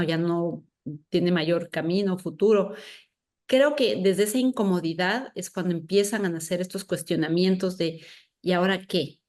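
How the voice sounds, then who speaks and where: A woman hosting a podcast, talking reflectively and searching for her words as she goes. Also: on the low side. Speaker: podcast host. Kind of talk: reflective conversational talk.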